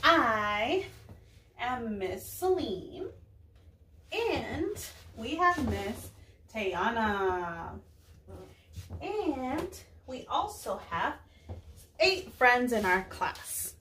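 A young woman with a slightly high voice teaches preschoolers. She goes very slowly, enunciating each word and putting simple questions to the children. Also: sing-song, fairly bright tone, a little hiss or hum. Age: young adult. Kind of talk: children's lesson, addressing kids, English with some Spanish words.

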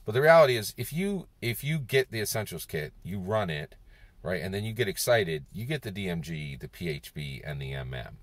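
A man's voice: sits low, very animated, fairly quick, dry recording. A man in his fifties vlogging from a moving car on a lapel mic, musing aloud and tagging "right?" onto his points. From Philadelphia, USA. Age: 50s. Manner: rambling, circles back with "right?". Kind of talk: casual talking-head monologue. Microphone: clip-on lapel mic. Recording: car and road noise behind. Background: road and engine noise.

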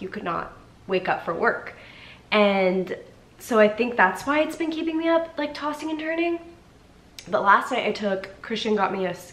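A young woman in her twenties chatting casually to camera from her couch, nasal and congested from a cold, filling her talk with "like" and sometimes trailing off quietly. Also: medium pitch, roomy sound. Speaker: vlogger. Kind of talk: casual vlog chat to camera. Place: living room, sitting on a couch indoors. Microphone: camera in front at sitting distance.

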